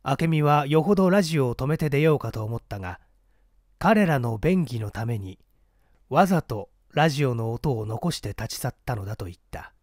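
A man's voice: mid-range voice, animated, normal speed, a little mellow, no echo, clean studio sound. A man reading a mystery story aloud as a scripted literary narration. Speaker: audiobook narrator.

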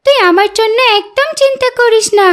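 A woman's voice: very high voice, brisk. A woman voicing a curious, headstrong little female duckling in a cartoon fairy tale, with a childlike, pouty manner. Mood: eager, coaxing. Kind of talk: animated fairy-tale voice acting.

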